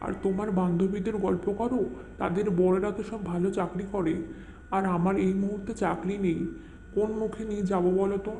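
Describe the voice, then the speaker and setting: A man's voice: sits high, dark tone. A man playing a humble, worried village husband in a cartoon, with a plaintive, homely way of talking. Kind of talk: Bengali cartoon fairy-tale acting. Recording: roomy echo, faint ambient noise.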